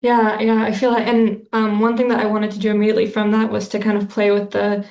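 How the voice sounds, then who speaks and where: A woman talks through her writing process in a flat, level voice with a gravelly fry. She pauses often and fills the gaps with um and like. The room around her sounds echoey. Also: medium pitch. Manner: thoughtful, many fillers and restarts. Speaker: interviewed author. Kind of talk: casual interview answers.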